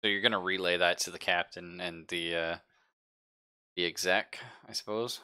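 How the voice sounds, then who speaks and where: A man acting as game master in a tabletop roleplaying game, describing things conversationally and haltingly as he thinks aloud. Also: low-pitched, steady tone, sharp tone, clear, resonant tone. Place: live-streamed game session.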